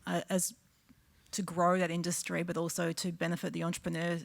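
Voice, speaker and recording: A woman from the audience asks a panel a careful, slightly halting question. Her voice is lowish with a gravelly edge. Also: monotone. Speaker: audience member asking a question.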